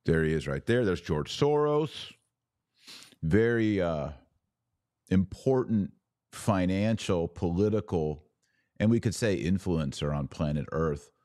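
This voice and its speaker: Deep-voiced man, slow deliberate commentary. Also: animated, warm tone, dry recording, studio quality. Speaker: podcast host and commentator. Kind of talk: conversational news commentary.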